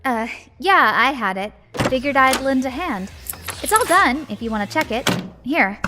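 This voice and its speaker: A woman's voice: sits high, expressive, normal speed, background noise. A woman acting a sly, flippant shop owner and secret agent in a naturalistic audio drama. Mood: breezy, apologetic. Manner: flippant, sardonic, breezy.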